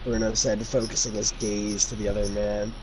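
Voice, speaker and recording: A man's voice: lowish voice, flat delivery, normal speed, darkish tone. Casual guy over noticeable background noise. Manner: informal, youthful slang, stumbling over the text. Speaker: co-host reading fan fiction aloud. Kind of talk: casual chat and amateur story reading with joking commentary. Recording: noisy amateur home recording.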